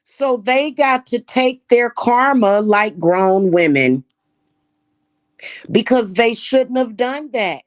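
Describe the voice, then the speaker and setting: A woman's voice: fairly high pitch, lively intonation, slow, no room sound. A woman from Missouri with a Missouri accent, talking to her viewers in a blunt, scornful, mocking monologue. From Kansas City, Missouri. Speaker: spiritual practitioner and channel host.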